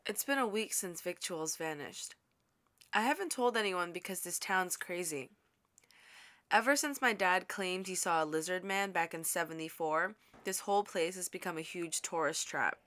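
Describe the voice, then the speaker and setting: A woman's voice: fairly low pitch, moderate pace, fairly bright tone, close to an everyday voice. A woman playing a practical, wry young lighthouse keeper and inventor, narrating and speaking in an audio drama in a conversational way. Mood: wry, reflective.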